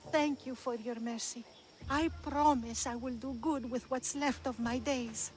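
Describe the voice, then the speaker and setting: Game role: remorseful old oracle, high voice, begging and apologetic. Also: female voice, steady tone, a little slow. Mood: grateful, contrite. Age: older. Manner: pleading, contrite. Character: remorseful elderly grandmother, a fearful disgraced oracle. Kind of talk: video game cutscene acting.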